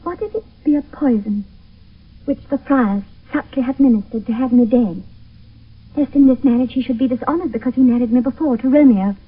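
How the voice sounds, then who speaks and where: A woman's voice: a little high, brisk, natural voice. An actress playing a frightened young noblewoman in an intense, theatrical 1970s radio Shakespeare style. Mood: fearful. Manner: intense, theatrical verse delivery. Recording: thin phone-like old radio, distant mic.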